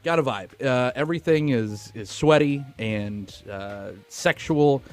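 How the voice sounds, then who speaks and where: A man's voice: medium pitch, a little slow. A man hosting a film podcast in casual chat, swearing freely and joking crudely.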